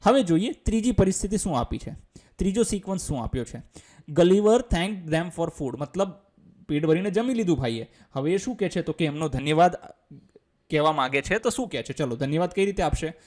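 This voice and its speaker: Mellow, higher-voiced Gujarati-accented male teacher. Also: animated, a little fast. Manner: colloquial, step-by-step, asks and answers own questions. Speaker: English teacher. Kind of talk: explanatory school lesson, reading English lines then explaining them.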